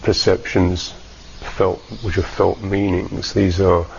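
A man teaching a guided meditation in a measured, halting way with long pauses, on a dull recording. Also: low voice, little pitch movement, creaky, a little hiss or hum.

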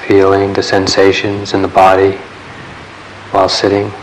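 A man leading a guided meditation in a calm, measured way, leaving long pauses between short phrases. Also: low voice, poor audio. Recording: steady background hiss.

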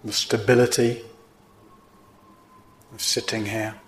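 A man teaching a guided meditation in gently instructive, unhurried phrases with pauses between them. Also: lowish voice, little pitch movement. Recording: roomy, echoing indoor sound.

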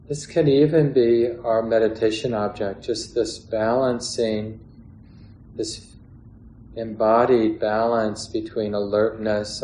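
A man leading a guided meditation as a teacher, speaking calmly and in measured phrases with long pauses. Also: on the low side. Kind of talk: guided meditation, unscripted instruction. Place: meditation hall or large room. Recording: roomy echo, faint background noise.

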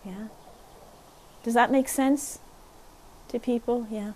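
Woman, meditation teacher at a retreat, gentle and unhurried, keeps checking in with the group. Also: on the high side, fairly even intonation. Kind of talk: guided meditation talk at a retreat.

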